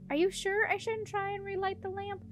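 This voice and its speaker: A woman's voice: very high voice, flat delivery, brisk, faint music behind, pitched above the performer's own voice. A woman voicing a headstrong, curious young princess in a storybook, frank and questioning in manner. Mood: wary.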